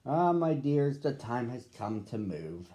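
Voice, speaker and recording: A hearty papa bear from a children's storybook, played by a man reading aloud. He is decisive and reassuring, making plain declarations in a mellow tone. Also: mid-range voice, big pitch swings, slow.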